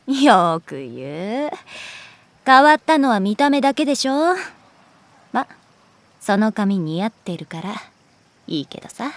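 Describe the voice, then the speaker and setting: 抑揚豊かな皮肉屋の女教師. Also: female voice, mid-range voice, sing-song, measured pace, pauses often. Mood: teasing, fond. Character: adult woman teacher, smoker, wry, aloof but fond. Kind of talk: Japanese audio drama acting.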